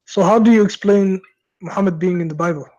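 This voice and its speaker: A man's voice: high-pitched, fairly quick, warm tone, dull audio. A man calling in to a debate show who keeps pushing his questions. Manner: pushy, persistent questioning. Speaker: caller. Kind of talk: call-in debate.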